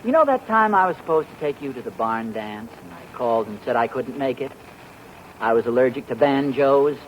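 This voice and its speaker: Actor plays a playful, bragging husband, lively delivery; muffled 1940s radio with hiss. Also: male voice, mid-range voice, a little fast, lightly acted. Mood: teasing. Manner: teasing, playfully boastful storyteller. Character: teasing husband spinning a boastful tale. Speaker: sitcom lead. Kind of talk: 1940s radio sitcom acting.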